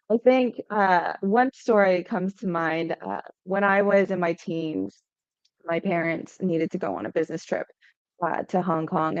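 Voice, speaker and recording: A woman's voice: lowish voice, a little mellow, no echo. A young adult woman from Hawaii, a startup founder answering podcast interview questions in a conversational way, often saying "um" and "right?". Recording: dull lo-fi sound.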